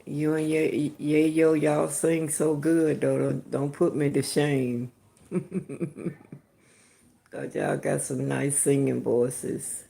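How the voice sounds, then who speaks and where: Slow, gravelly older woman. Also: low voice, flat delivery, mellow. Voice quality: distinctive voice. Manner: unhurried, chuckling, affectionate. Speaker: livestream host greeting viewers. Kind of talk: casual chat answering comments.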